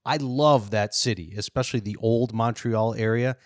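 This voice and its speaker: A man's voice: medium pitch, normal speed, no echo, clean studio sound. A man from upstate New York who hosts a podcast and interviews his guest in a friendly, affirming way. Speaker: podcast host. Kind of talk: casual interview chat.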